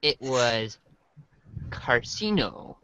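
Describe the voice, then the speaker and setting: Woman playing a lively, bemused teenage boy. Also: rumbling low, very slow, background noise, full character voice. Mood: offhand. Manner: hesitant, casual, bemused. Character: laid-back, slightly awkward teenage boy, good-natured and bemused. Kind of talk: amateur reader's theater.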